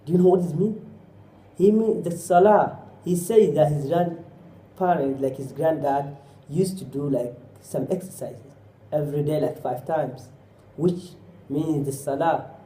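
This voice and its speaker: Dark-voiced man preaching. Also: on the high side, measured pace. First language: Somali. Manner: exhorting with repeated rhetorical questions; often drops in Arabic phrases. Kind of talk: sermon. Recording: roomy echo.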